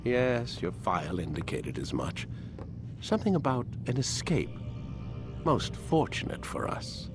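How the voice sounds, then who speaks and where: An actor playing a cold, ruthless older scientist in a video game, speaking in a measured, formal, lecturing way. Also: male voice, mid-range voice, big pitch swings, music in the background. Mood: cold, menacing. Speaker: scientist in charge.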